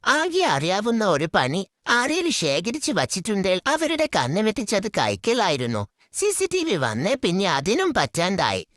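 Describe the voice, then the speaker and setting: Woman as sly male fox, lazy schemer, fake healer, mock-authoritative, very lively intonation, kids' cartoon. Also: medium pitch, moderate pace, no echo, clean studio sound. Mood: grumbling, scheming. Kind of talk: children's cartoon story character.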